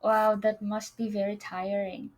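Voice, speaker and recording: A woman's voice: medium pitch, level tone, unhurried, darkish tone. A young woman from Indonesia co-hosting a student podcast, speaking English as an in an earnest, slightly way. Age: young adult. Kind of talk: casual scripted chat.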